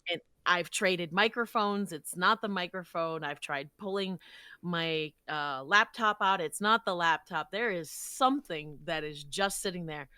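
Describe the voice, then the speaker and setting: Woman, voice actor guest, bright forceful tone, lowish pitch, dry close sound, conversational. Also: measured pace, smooth, dry recording. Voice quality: low voice for a woman. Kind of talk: casual interview chat. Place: walk-in closet used as a home recording booth. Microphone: close studio microphone.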